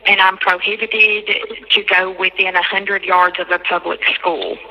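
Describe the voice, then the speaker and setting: A woman on a recorded jail phone call, recounting a conversation in a halting, repetitive way and quoting others at length. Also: medium pitch, level tone, sharp tone. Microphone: telephone handset.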